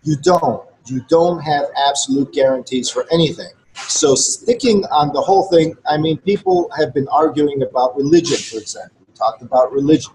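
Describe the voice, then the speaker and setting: A man's voice: a little high, moderate pace, a little mellow, a little hiss or hum, poor audio. A man whose first language is Greek, a regular co-host, speaking to listeners in a blunt, earnest, lecturing way. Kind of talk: casual conversation to listeners.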